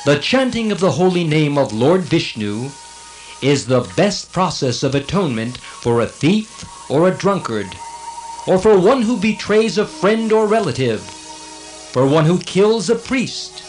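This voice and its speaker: A man's voice: a little high, low-quality recording. A righteous heavenly servant of God played by a man in a scripture audio drama. He argues formally and earnestly in a raised, very melodic voice, taking his time over faint music. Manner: declamatory, formal, argumentative.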